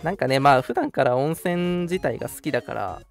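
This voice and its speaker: Lively, quick-talking man hosting radio. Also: mid-range voice, creaky, faint music behind. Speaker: radio show host. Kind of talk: casual radio show hosting with announcements.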